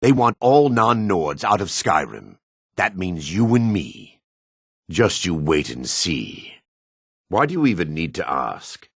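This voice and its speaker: An actor playing a jaded, sardonic male dark elf in a fantasy video game, with a husky voice. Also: sits low, sing-song, slow, no room sound, studio quality, heavily acted. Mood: bitter, sardonic. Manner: sardonic, disdainful. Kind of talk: video game character voice acting.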